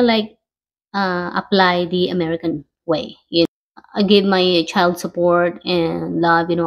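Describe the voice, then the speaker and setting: A middle-aged woman from the Philippines with a Filipino accent, telling personal stories casually in halting, conversational English, often checking in with the listener. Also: fairly low pitch. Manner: halting, conversational, frequently seeking agreement with "you know". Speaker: vlogger. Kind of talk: casual personal storytelling to camera.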